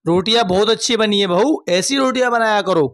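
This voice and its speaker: Woman doing a teasing in-law guy in a family story, dropped way lower, approving, dry sound. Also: a little fast, no echo, lightly acted. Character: approving in-laws and teasing man of the household. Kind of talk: dramatized storybook dialogue.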